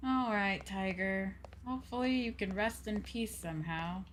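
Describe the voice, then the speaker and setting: A woman giving casual, rambling, soft-hearted live commentary as she streams a video game, speaking into a close mic. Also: mid-range voice, normal speed, slight background noise.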